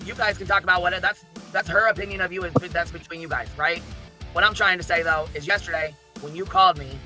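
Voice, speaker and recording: Blunt, forceful, high-voiced man swearing emphatically. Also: fairly even intonation, fast talker, soft background music. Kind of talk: heated informal argument.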